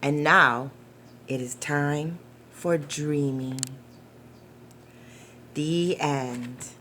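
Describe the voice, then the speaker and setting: A woman with a slightly low voice reads a picture book to small children. She goes very slowly and gently, with expressive phrasing, as a preschool storytime reader. There is noticeable noise behind her. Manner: gentle, deliberate, asking the children questions.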